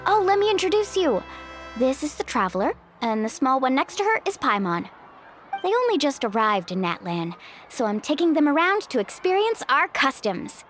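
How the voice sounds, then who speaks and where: Breezy outgoing young gal showing folks around, high animated voice, game music under it. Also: female voice, normal speed. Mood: upbeat. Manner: breezy, upbeat, chatty. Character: cheerful, confident, outgoing young woman guide. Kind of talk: anime-style video game voice acting.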